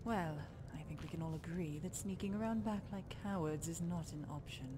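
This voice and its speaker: Bloodthirsty female fighter for game, actress: lowish voice, blunt sarcasm, dismissive, noisy ambience behind. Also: a little fast, natural voice. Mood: scornful. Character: scornful, bloodthirsty, blunt female warrior companion. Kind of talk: scripted video game dialogue. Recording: clear background ambience noise.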